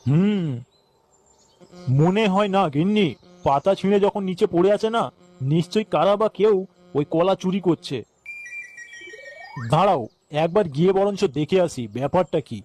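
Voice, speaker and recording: A man's voice: high-pitched, normal speed, long pauses, warm tone. A man with a West Bengali accent voicing an irritable, foul-mouthed village banana farmer in a comic cartoon. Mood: puzzled. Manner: grumbling, swearing.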